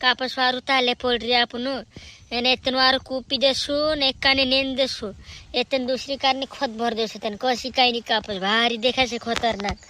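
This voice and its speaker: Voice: mid-range voice, level tone, moderate pace, sharp tone, natural voice. A performer voicing a chattering, scolding village family member in an amateur cartoon comedy. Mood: grumbling chatter.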